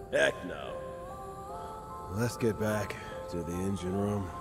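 Fed-up army grunt, gravelly and slow, video game, lots of reverb. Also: male voice, deep voice, exaggerated voice. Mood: weary, grumbling. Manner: grumbling, resentful. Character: grumbling, weary male rank-and-file soldier. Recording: long reverb, music behind.

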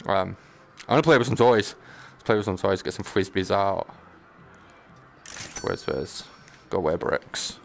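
A man's voice: deep voice, a little slow, pauses often, soft background music. A man chatting casually on a live game stream, joking in a slangy, sweary way. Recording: clear background noise.

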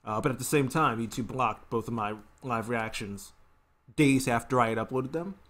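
A man's voice: mid-range voice, normal speed, fairly bright tone. A man in his twenties giving casual reaction commentary as a video host, rambling and often circling back to rephrase. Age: 20s. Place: small indoor room at home.

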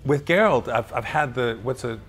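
Middle-aged man, friendly, mid-pitched. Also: normal speed, fairly bright tone, soft background music. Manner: friendly, self-deprecating, frequent restarts. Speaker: voice actor, interview guest. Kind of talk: casual interview chat. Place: backstage room with wooden walls at an awards event.

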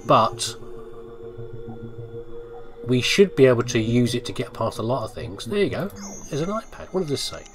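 Lively, chatty man commentating on a game. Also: medium pitch, normal speed, long pauses, soft background music. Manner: jokey, chatty, talks to himself. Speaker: gaming video host. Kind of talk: casual let's play commentary.

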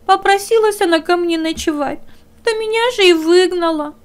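Woman voicing a tearful little hare, very high-pitched. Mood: plaintive, tearful. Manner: plaintive, whimpering, slow. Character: small, tearful, pitiful young male hare.

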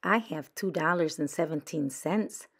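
A woman's voice: low voice, unhurried, fairly bright tone. A woman voicing an earnest, trusting young girl badger in a picture book, speaking plainly. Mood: eager. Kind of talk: children's picture book read-aloud.

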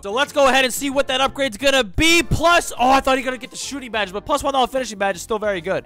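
Raspy high-voiced young gaming host. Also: male voice. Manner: excitable, shouts at big plays. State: hoarse, raspy voice. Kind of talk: energetic play-by-play game commentary. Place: home streaming room with green screen. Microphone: large studio mic on boom, headphones.

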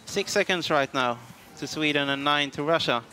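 A man calls a ski race live in English, his voice bright and a touch high, often pausing on "uh" as he hunts for words. A faint hiss of background noise sits under the broadcast. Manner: hesitant, many fillers, non-native English. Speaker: commentator. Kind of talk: live sports commentary.

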